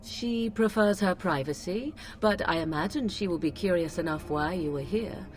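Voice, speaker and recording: A woman's voice: on the low side, moderate pace, darkish tone, a little hiss or hum, close to an everyday voice. An actress playing a haughty, aloof young wilderness witch with archaic phrasing in a fantasy video game. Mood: cool, teasing.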